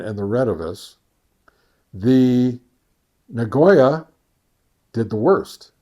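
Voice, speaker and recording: Guy doing a casual review recap, really slow talker, kinda halting and folksy, thinks out loud. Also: medium pitch. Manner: folksy, conversational asides. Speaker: amateur radio gear reviewer and host. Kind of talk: casual product test summary.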